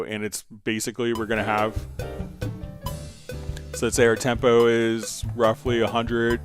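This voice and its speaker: A man hosting a tutorial stream, casually talking through each step of a software demonstration as he works. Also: lowish voice. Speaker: host answering viewer questions on a software tutorial stream.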